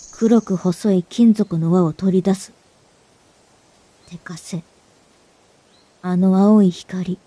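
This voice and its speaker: A woman playing a cornered, fearful young fugitive, narrating a fantasy audio drama in short phrases with dramatic pauses. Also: mid-range voice, dark tone, no room sound. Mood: dread, helpless. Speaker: voice actor narrating as the main character.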